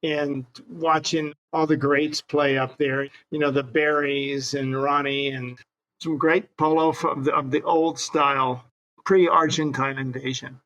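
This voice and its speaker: A man's voice: a little high, steady tone, a little slow, a bit bright, dull audio. An older man from Hawaii with an American accent, casually reminiscing as an interview guest. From Hawaii, United States.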